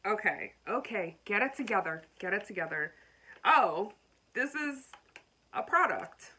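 A woman's voice: medium pitch, expressive, measured pace, sharp tone, breathy edge. Bubbly congested woman vlogging. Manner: bubbly, talks straight to viewers. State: congested, slightly froggy. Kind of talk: chatty unboxing vlog.

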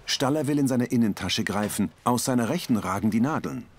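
A man reading scripted audio description in a measured, neutral way. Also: medium pitch, lively intonation, noisy background. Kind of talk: scripted narration.

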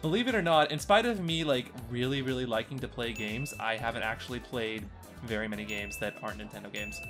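A man's voice: mid-range voice, a little fast. A young man co-hosting a podcast in casual, joking chat with a playful, teasing, self-mocking manner. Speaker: podcast co-host. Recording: music behind the voice. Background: background music.

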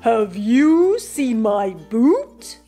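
Woman acting big friendly storybook giant, clumsy, well-meaning, drawn-out emphatic delivery, music behind. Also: a little high, big pitch swings, warm tone, heavily acted. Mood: dismayed. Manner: slow, emphatic.

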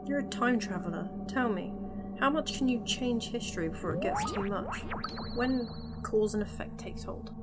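A woman's voice: a little high, big pitch swings, normal speed, over loud music. A woman acting in a fan audio drama as an eager, curious time-travel companion, speaking in an informal, inquisitive way.

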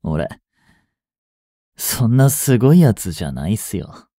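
A man playing a grieving young policeman in an audio drama, speaking in a slow, bitter, intimate murmur. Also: fairly low pitch, sing-song, warm tone, no room sound, studio quality. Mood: subdued, weary. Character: grieving young policeman mourning his criminal girlfriend, tender. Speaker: voice actor. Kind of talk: Japanese audio drama monologue. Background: music.

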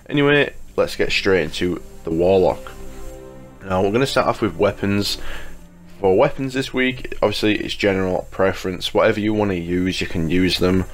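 A man giving a casual, chatty video game guide as a YouTube host, sounding slightly congested with a cold. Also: low-pitched. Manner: informal, chatty, addresses viewers as guys. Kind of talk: casual video game guide commentary over gameplay menus. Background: music.

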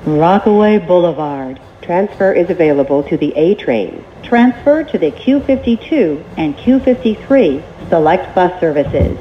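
A woman reading scripted transit announcements as a train announcer, in short, measured, formal phrases. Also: on the low side, animated. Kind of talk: scripted automated station announcements. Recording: muffled recording with train noise.

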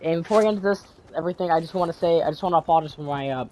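A man's voice: fairly high pitch, very fast, dark tone, slight background noise. A teenage boy with a cold, rambling through casual gaming commentary with many fillers, restarts and repeated words. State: congested from a cold. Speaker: gaming YouTuber. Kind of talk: casual vlog commentary over gameplay.

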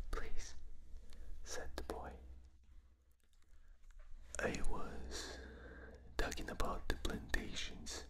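A man with a non-native English accent whispering a book aloud for binaural ASMR, reading with small slips and restarts, recorded in a dark room at night. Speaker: reader. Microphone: binaural microphone.

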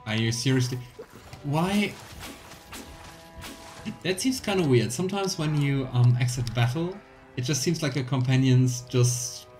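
A man's voice: mid-range voice, a little slow, pauses often, a little mellow. A young man giving casual, playful live commentary as he plays a video game, chatting to the game and viewers. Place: small indoor room at home. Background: game music.

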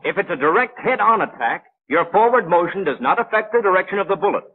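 A high-voiced, exacting male instructor in an old wartime training cartoon. He lectures in precise, clipped phrases with a very lively rise and fall. The sound is a thin, band-limited film soundtrack. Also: fast talker, narrow, tinny audio, a little hiss or hum. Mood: instructive. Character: brisk, know-it-all male gunnery instructor, patient but exacting. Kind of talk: 1940s animated training film narration.

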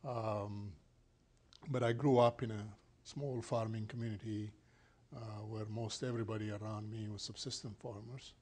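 An older man from Ethiopia, a scientist answering interview questions in a measured, thoughtful way with many fillers, recorded on a lapel mic in a small studio. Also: on the low side, steady tone, darkish tone. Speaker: plant scientist guest. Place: small studio set indoors.

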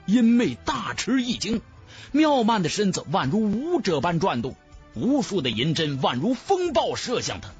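A man's voice: sits high, huge pitch swings, normal speed, a little mellow, soft background music. A man narrating a fantasy audiobook in a dramatic scripted style.

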